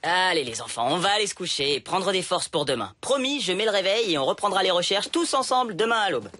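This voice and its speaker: A woman's voice: on the low side, expressive, quick pace, fairly bright tone. A woman voicing a patient, reassuring mother in a dubbed cartoon, speaking in a soothing, coaxing way. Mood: soothing, firm. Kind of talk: animated cartoon dubbing.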